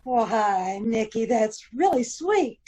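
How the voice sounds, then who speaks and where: A woman's voice: a little high, very animated, takes it slowly, warm tone, slightly rough, exaggerated voice. A woman playing an affectionate, playfully needling wife in an old-time radio comedy-mystery. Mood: tender. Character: Nora Charles, teasing affectionate wife of a detective.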